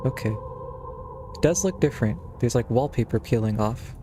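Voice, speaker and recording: A man's voice: mid-range voice, expressive, normal speed, mellow. A man giving casual, offhand commentary as he streams a game, muttering short reactions. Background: faint game music.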